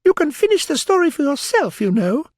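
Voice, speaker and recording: Squeaky, hoarse little storybook dormouse. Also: male voice, sing-song, brisk, dry recording, clean studio sound. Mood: sulky. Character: sleepy, sulky male dormouse storyteller.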